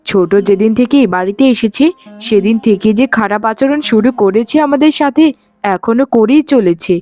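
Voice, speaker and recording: A woman voicing a gentle, dutiful sister-in-law in a cartoon village family drama, speaking patiently. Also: a little high, normal speed, dry recording, faint music behind, natural voice. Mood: complaining. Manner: patient, conciliatory.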